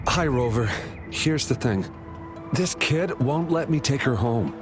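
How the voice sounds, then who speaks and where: A woman's voice: extremely low pitch, animated, measured pace. A woman voicing a kind, somewhat hesitant soldier looking after a child, in a video game. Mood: patient, matter-of-fact. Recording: music behind the voice. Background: background music.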